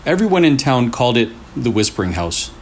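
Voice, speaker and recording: A man reading a scary story aloud in short, deliberate phrases with pauses for suspense. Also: mid-range voice, very animated. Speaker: storyteller, channel host. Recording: clearly noisy room recording.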